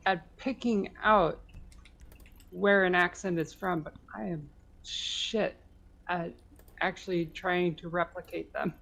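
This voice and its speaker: A woman's voice: on the low side, fairly even intonation, slow, creaky, fairly bright tone. Hesitant woman chatting over a game. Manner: hesitant, restarts often. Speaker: friend in group game chat. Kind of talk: casual gaming chat.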